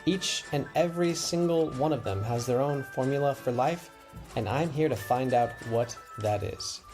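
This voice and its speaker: A male host reads the opening narration of his show in a measured, announcing way. Clear music plays under his mid-pitched voice throughout. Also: a little mellow. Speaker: podcast host. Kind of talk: scripted show intro narration.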